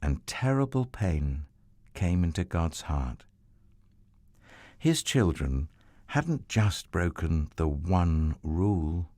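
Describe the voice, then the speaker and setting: A man narrating a children's Bible story in a tender, expressive storybook manner. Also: low voice, no echo, clean studio sound.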